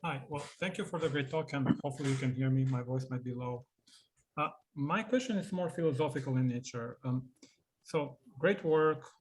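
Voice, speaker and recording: A man in the audience of an online seminar, asking an academic question in a deliberate, polite but challenging way. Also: mid-range voice, background noise.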